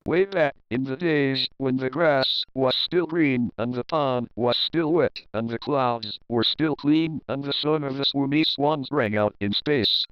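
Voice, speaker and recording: A man narrating a rhyming children's story as an expressive, theatrical read-aloud with deliberate phrasing. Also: medium pitch, clean tone, no echo, muffled recording.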